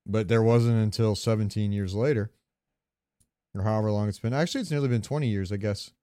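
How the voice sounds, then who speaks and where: A man's voice: on the low side, brisk, mellow, smooth, no room sound. A man co-hosting a podcast, chatting casually about games, joking and thinking aloud on a close microphone. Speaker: podcast co-host. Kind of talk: casual gaming chat.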